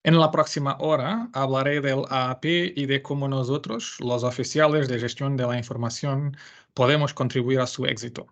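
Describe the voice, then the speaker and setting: A man with a Portuguese accent giving a webinar presentation in Spanish, his second language. His voice is gravelly and sits at a middle pitch. He speaks carefully and sometimes stops to restart a phrase. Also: steady tone. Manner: careful, slightly halting non-native Spanish. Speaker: webinar presenter, information management adviser.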